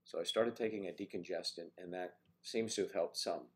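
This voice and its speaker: This is an older man with a cold that has made his low voice even deeper and stuffier. He chats casually on his morning livestream, teasing viewers and greeting them by name. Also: fairly quick. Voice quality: deep voice. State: congested with a cold. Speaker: livestream host.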